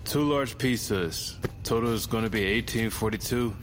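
Actor as a low-voiced, polite pizza delivery guy. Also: normal speed, noisy background, lightly acted. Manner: polite, scripted customer service. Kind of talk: audio drama acting.

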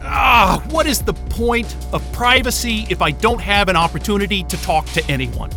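Trapped male scientist, audio drama, male actor: agitated, foul-mouthed, forceful high voice, music underneath. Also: expressive, moderate pace, heavily acted. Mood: angry, defiant. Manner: agitated, profane, combative. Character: hot-tempered, sarcastic male astrophysicist trapped in a remote station.

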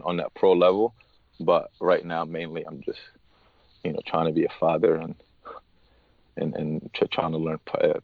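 A man, a tennis coach being interviewed, speaking haltingly and often restarting his words. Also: sits low, no room sound, phone-quality sound. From Morocco. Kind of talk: casual interview talk.

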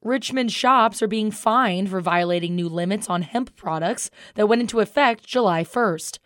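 A woman's voice: medium pitch, normal speed, fairly bright tone, no room sound. Female American radio news anchor, dry studio sound. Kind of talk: scripted radio newscast.

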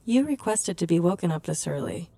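A woman voicing a polite, unflappable AI assistant in an audio drama, even and detached. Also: fairly low pitch, a little fast, gravelly. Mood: neutral, polite.

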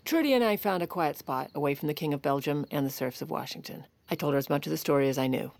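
Give the story to a wry, exasperated First Lady. Her voice sits low with plenty of fry. She confides dryly, as the narrator and heroine of a comedic period audio drama. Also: fast, darkish tone, slight background noise. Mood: dry, confiding. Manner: dry, wry. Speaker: narrator and lead character.

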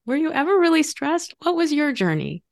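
A woman's voice: high-pitched, big pitch swings, brisk, vocal fry, no room sound. A woman hosting a podcast, asking questions with enthusiasm. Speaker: podcast host.

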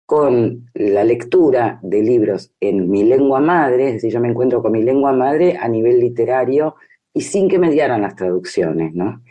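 A woman's voice: very deep voice, mellow. A woman in her fifties with a Rioplatense Spanish accent, talking reflectively and expressively as a novelist being interviewed, repeating phrases for emphasis. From grew up in Brazil. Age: 50s. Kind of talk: literary interview, reflective conversation.

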